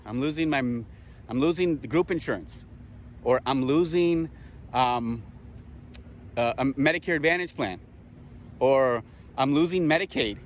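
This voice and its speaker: Man drilling terms, slightly high voice. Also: slight background noise. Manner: repeats himself, self-corrects, rhetorical questions; drills terms with check-ins like okay. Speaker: insurance agent teaching other agents. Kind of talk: casual spoken lesson.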